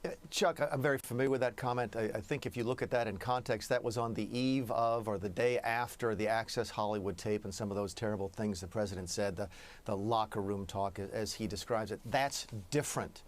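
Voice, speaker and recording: A man's voice: fairly low pitch, steady tone, a little fast. A man answering questions as a guest in a live television interview, speaking hesitantly with many fillers and restarts. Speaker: interview guest, former official.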